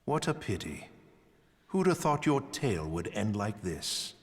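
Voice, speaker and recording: Smug menacing officer in a fantasy game, formal, swoopy pitch, echoey hall. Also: male voice, very animated, a little slow. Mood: mock pity. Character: smug, menacing military officer announcing charges. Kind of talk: dramatic fantasy video game acting. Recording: long hall reverb.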